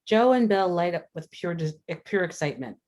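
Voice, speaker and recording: A woman's voice: fairly low pitch, lively intonation, brisk, darkish tone. A woman narrator reading screenplay stage directions aloud in a scripted style.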